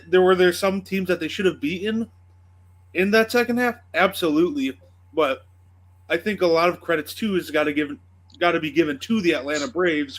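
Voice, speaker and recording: A man on a sports talk panel with a slightly higher voice. He argues carefully and leans on statistics to make his case. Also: fairly bright tone. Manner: argues with stats, measured. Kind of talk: casual sports debate.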